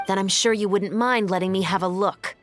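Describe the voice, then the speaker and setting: A woman's voice: medium pitch, steady tone, brisk, soft background music, lightly acted. A woman playing a stern, composed young police captain in a video game, commanding, clipped and matter-of-fact. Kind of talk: video game character dialogue.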